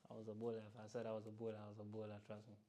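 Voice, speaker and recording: A man's voice: fairly low pitch, monotone, very fast, mellow. Laid-back young south London man. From south-east London. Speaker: guest. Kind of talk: casual interview answers.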